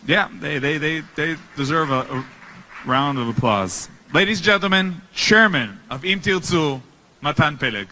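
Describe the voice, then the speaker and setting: A male emcee with a bright, forceful voice introduces the next speaker from the stage. He speaks emphatically and trips over repeated words before calling for applause. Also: on the high side, animated, moderate pace, slight background noise. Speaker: conference emcee. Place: large hotel conference hall.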